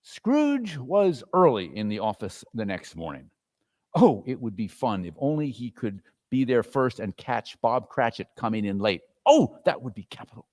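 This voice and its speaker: A man narrating a classic story as a theatrical, animated dramatic reading. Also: medium pitch, moderate pace. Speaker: story reader and performer.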